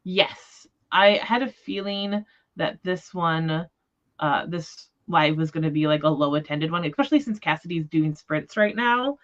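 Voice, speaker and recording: A woman's voice: low voice, measured pace, fairly bright tone, muffled recording. A woman hosting a book discussion livestream in casual chat, talking in an informal, rambling, tentative way. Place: remote livestream call.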